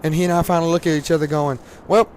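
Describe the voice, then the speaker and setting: A hoarse teenage man from Louisiana, rambling casually with lots of fillers and laughs while commenting on a game at a desk mic. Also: a little high, level tone, racing, a little mellow, slight background noise, rough recording. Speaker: gaming commentator.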